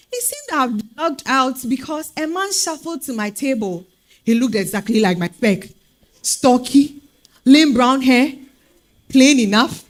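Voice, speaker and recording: A woman with a Ghanaian accent playing a spirited single woman telling her dating story in a comic stage reading. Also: high-pitched. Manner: expressive, exasperated storytelling. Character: Araba, spirited Ghanaian single woman hunting foreign men.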